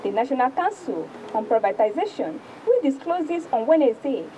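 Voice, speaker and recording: High-pitched Nigerian woman reading the news. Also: big pitch swings, a little fast, dark tone, a little hiss or hum, low-quality recording. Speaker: presenter reading newspaper review. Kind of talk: news reading and paper review.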